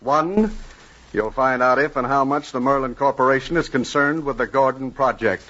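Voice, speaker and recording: An actor playing a sly, domineering Communist boss with a commanding, deliberate manner in a 1950s radio drama. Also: male voice, medium pitch, a bit bright, close to an everyday voice. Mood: insistent, scheming. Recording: dull lo-fi 1950s radio broadcast.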